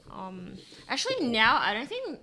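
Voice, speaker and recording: Bright-voiced Australian woman. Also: fairly high pitch, huge pitch swings, moderate pace, a little hiss or hum. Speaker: podcast co-host. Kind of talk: casual chat.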